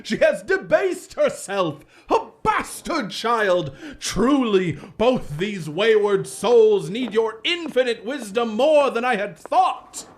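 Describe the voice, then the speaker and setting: Fiery evangelical preacher, high-pitched, slow sermonizing, pompous, theatrical, 1950s radio melodrama. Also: male voice, animated. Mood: scandalized. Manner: pompous, theatrical, scripture-quoting delivery.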